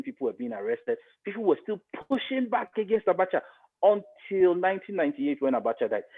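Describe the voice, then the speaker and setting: A man's voice: medium pitch, phone-quality sound. A middle-aged Nigerian man giving emphatic political commentary as an interview guest, repeating phrases for stress. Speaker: interview guest, media expert.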